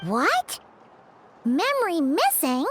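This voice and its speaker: A woman voicing a small, excitable, childlike fairy companion in a video game, squeaky and full of exclamations. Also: takes it slowly, very noisy, heavily acted. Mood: dismayed. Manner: exclamatory, refers to herself by name.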